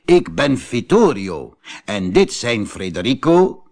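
A tall, thin gentleman thief from a storybook as a man acts him out. He is courteous and theatrical, cheerfully naughty, with a slow and animated delivery. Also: mid-range voice. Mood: polite. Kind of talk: storybook character voice.

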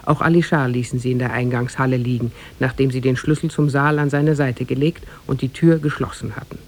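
A man narrating a tale as a formal, measured storyteller in 1950s German radio style. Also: mid-range voice, steady tone, slight background noise.

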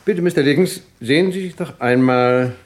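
A man's voice: mid-range voice, normal speed, lightly acted. A man playing a courteous Scotland Yard sergeant who questions politely but insistently in a 1970s German radio crime drama. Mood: probing.

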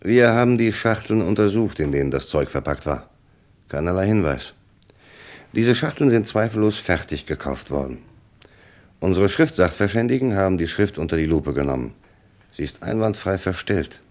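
Deep-voiced, animated police commissioner. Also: male voice, normal speed. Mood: businesslike. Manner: formal, insistent questioning. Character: persistent, probing male police commissioner. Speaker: actor in a radio crime drama. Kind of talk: German radio play dialogue acting.

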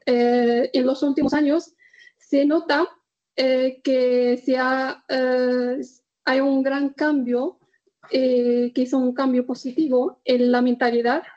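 A woman from northern Morocco, answering interview questions in a halting way, pausing often to search for words. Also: high voice, flat delivery, darkish tone, lo-fi sound. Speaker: guest.

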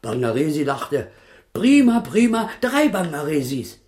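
A man's voice: a little high, very animated, unhurried, darkish tone. An actor playing an evasive, frightened circus man making a defensive confession in radio drama style. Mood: agitated recounting. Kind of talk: 1980s German radio drama acting.

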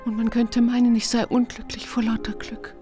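Woman acting solemn lovestruck lady in a poetic radio play, earnest and lyrical, clear music behind her. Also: on the high side, completely flat delivery, moderate pace, warm tone, close to an everyday voice. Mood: rapturous. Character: passionate, solemn woman deeply in love.

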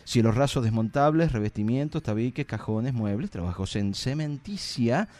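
A man's voice: mid-range voice, lively intonation, normal speed, a little mellow. Playful Argentine man hosting live radio. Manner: playful, joking. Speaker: radio host.

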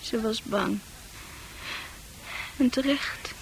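Casting: dreamy, troubled young female confidante for Dutch radio drama, high-pitched, poetic and earnest. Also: extremely slow, vocal fry, slight background noise, full character voice, rough recording. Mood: troubled, subdued. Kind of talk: Dutch radio play acting.

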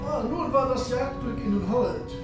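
Imperious captain in a cavernous echo. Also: male voice, very high voice, animated, normal speed. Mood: cool disdain. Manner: haughty, cool, sardonic. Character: aloof, imperious, sardonic male submarine captain. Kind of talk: German radio drama acting. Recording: very echoey, distant, organ music behind.

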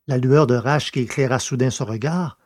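A man's voice: mid-range voice, normal speed, darkish tone, no room sound, studio quality. A man reading a scripted science-fiction story as its narrator.

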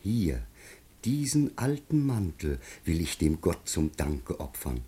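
A man's voice: low voice, big pitch swings, slow pace, dark tone, husky, exaggerated voice. An actor playing a humble, grateful, good-hearted poor man in a German radio play, speaking with earnest theatrical feeling. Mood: grateful, wistful.

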